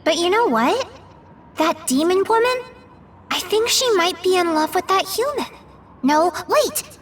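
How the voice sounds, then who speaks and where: A woman's voice: very high-pitched, big pitch swings, unhurried, a little hiss or hum, full character voice. An actress voicing a perky, clingy young female companion in a video game, talking in a bubbly, teasing way. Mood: musing. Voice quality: bubbly, teasing valley-girl talk. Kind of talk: video game cutscene voice acting. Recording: game audio with loud music behind.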